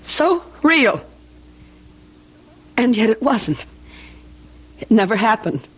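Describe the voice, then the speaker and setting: An actress in an old-time radio drama playing a fragile, distraught young newlywed who fears for her sanity, halting and pleading. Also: fairly high pitch, big pitch swings, a bit bright, husky, exaggerated voice. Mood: anguished, pleading. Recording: dull vintage radio recording.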